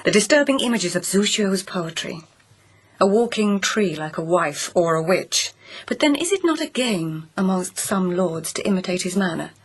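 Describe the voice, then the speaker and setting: An actress playing a shrewd court lady in a radio drama, who questions others with quiet, ironic persistence. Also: mid-range voice, animated, moderate pace, breathy edge. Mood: probing.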